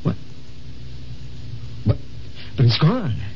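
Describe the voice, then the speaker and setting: A man's voice: on the high side, very animated, drawn-out words, darkish tone, exaggerated voice. An actor in 1950s radio drama playing an unsentimental dying man who travels through time. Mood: bewildered, agitated. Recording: muffled, noisy old radio recording.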